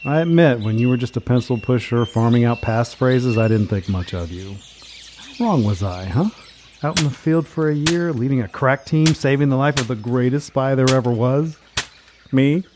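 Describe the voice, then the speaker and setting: A man's voice: medium pitch, moderate pace, noisy background, natural voice. A man playing a cocky, seasoned field agent in an audio comedy drama, with a wry, teasing delivery. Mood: reflective, wry.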